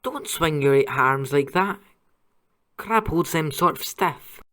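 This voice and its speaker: A woman plays a grumbling young schoolboy wizard. The voice sits fairly low and moves slowly, squeamish in temper, in an audio play. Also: expressive, a bit bright, heavily acted. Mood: wary. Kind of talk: audio drama acting.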